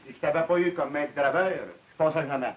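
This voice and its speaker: A man's voice: on the high side, fast, natural voice. A man playing a grateful, good-humoured logging boss with a folksy Quebec French accent, in 1950s television drama style. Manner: folksy, jovial. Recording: thin, phone-like, noisy 1950s soundtrack.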